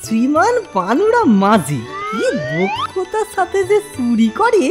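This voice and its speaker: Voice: very high voice, huge pitch swings, a little slow, over music, heavily acted. An actor voicing a cheeky, servile village thief in a comic cartoon, wheedling and rustic. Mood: boastful. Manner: obsequious, rustic wheedling, keeps saying sir. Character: cheeky village thief, servile, earnestly arguing simpleton man.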